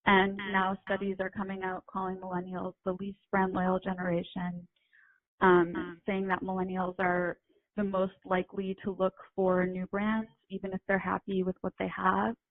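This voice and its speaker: A young woman speaking at an ordinary pitch as an interview guest, laying out her points steadily with small ums. Her voice is dry and close, and it is squeezed into a narrow, phone-like band. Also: monotone, no echo. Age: young adult. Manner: measured, explanatory, with ums. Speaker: guest, retail writer and editor. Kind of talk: casual interview chat.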